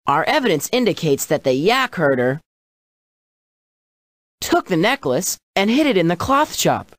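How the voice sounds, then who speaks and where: A woman's voice: on the low side, very animated, unhurried, dry recording, studio quality. A woman playing a wry, confident young detective teammate in a children's game. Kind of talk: scripted children's game voice acting.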